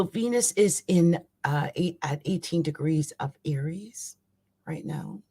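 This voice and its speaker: Woman, raspy voice, live astrology host. Loose, conversational delivery with emphatic asides. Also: low voice, darkish tone. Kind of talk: casual live astrology chat.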